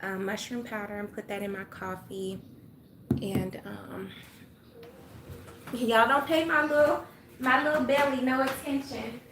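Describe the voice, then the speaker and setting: A woman's voice: a little high, fairly even intonation, measured pace, long pauses, background noise. Older Atlanta woman chatting on a livestream. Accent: Southern US. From Atlanta, Georgia. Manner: chatty, folksy, greets viewers, drops to a murmur at times. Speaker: livestream host. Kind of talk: casual livestream chat. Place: home room with sunlight. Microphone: phone.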